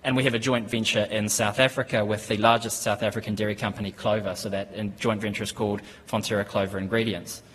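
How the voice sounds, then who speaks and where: A man in his thirties with a New Zealand accent gives a conference presentation at a lectern, speaking in a measured, steady way with frequent ums and restarts. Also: fairly low pitch, slightly rough. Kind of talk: business presentation. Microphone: lectern microphone. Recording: conference hall with long reverb.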